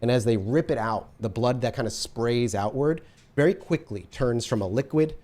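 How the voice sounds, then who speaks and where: Man narrating a dark tabletop scene. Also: medium pitch, normal speed. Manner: vivid scene narration mixed with patient rules explanations. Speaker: storyteller, game master. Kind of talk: narrating a tabletop horror game.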